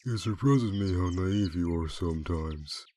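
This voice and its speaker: A laid-back skeleton grim reaper acted by a man for a fan comic dub. He is very deep and dark in tone and takes his time, drawling wry, morbid puns with a deadpan air. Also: measured pace, slight background noise. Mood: wry, teasing. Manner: dry, deadpan, drawling pun delivery. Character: laid-back skeleton reaper, wry pun-loving male, darkly teasing.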